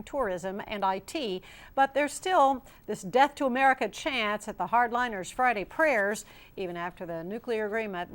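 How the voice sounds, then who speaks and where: A woman anchoring a television newscast, reading scripted stories in a formal news delivery. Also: medium pitch.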